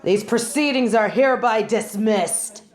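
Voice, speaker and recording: A woman's voice: on the high side, measured pace, some chatter behind, natural voice. A woman playing a haughty, sardonic young female judge in a dramatic audio roleplay, speaking in formal, imperious, theatrical phrases. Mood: solemn, formal. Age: young adult.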